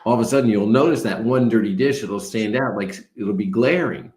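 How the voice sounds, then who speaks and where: Calm teacherly man in a roomy space. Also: on the low side, a little fast. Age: older. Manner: calm, teacherly, explains with everyday examples. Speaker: spiritual teacher. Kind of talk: reflective talk. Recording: roomy echo.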